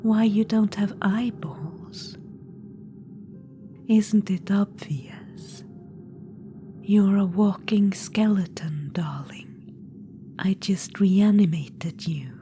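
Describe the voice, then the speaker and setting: A woman's voice: mid-range voice, very slow, pauses often, mellow, faint music behind. A woman whispering as a scheming witch-queen spirit. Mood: coaxing whisper. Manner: condescending, teasing, commanding. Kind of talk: ASMR audio roleplay. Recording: clear background noise.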